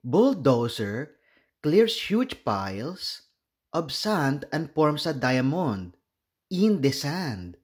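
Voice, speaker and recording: A man with a Filipino accent reading a children's storybook aloud, expressively and deliberately. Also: a little high, very animated. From Philippines. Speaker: children's book read-aloud host.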